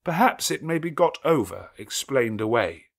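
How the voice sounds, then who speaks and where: A man voicing a solemn, earnest clergyman in an audiobook, declaiming in a formal, measured and ceremonious manner. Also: mid-range voice, big pitch swings, vocal fry, pitched above the performer's own voice. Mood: troubled, formal. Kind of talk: audiobook character acting.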